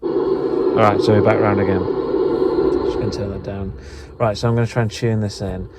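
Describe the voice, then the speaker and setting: A man's voice: on the low side, little pitch movement, moderate pace, heavy background noise. A man explaining things casually and tentatively in an unscripted, rambling vlog. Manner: tentative, self-deprecating, rambling. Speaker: vlogger, hobbyist trying out radio gear.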